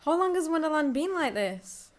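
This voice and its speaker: Actress as brave young fantasy heroine, high-pitched, earnest, resolute, radio play delivery. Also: female voice, very animated, moderate pace. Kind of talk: amateur fantasy radio drama acting.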